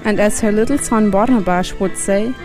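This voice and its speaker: A woman who narrates a short documentary in a clear, even presenting tone and asks the interview questions. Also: medium pitch, normal speed, music in the background.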